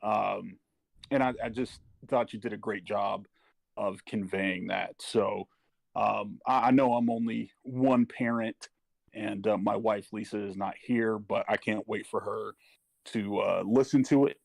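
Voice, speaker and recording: A middle-aged man speaking personally and earnestly, haltingly searching for his words. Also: on the low side, steady tone, gravelly, no room sound. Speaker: parent speaking as a panel guest. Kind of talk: personal testimony in casual talk.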